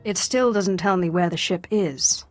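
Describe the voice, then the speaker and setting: An actress playing a commanding, curt female dark sorcerer lord in a video game. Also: on the low side, a little slow, soft background music, close to an everyday voice. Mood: cool, commanding. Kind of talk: game voice acting.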